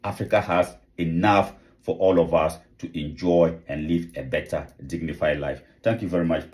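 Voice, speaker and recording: A man's voice: low voice, a little mellow. A man giving an impassioned rallying speech to camera, full of repeated rhetorical questions and calls to rise up. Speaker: activist channel host.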